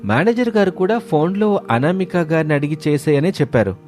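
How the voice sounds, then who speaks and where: A man's voice: fairly high pitch, expressive, a little fast, a little mellow, soft background music, pitched above the performer's own voice, lightly acted. A man voicing a sly office colleague who feigns humility, urging and ingratiating, in a moral story. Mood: urgent persuading. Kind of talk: animated moral story dialogue.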